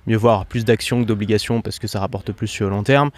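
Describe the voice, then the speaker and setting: Fast-talking man explaining investing casually. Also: fairly low pitch, fairly even intonation, no echo. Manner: fast, explanatory, peppered with fillers. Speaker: podcast host. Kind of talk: casual conversational interview.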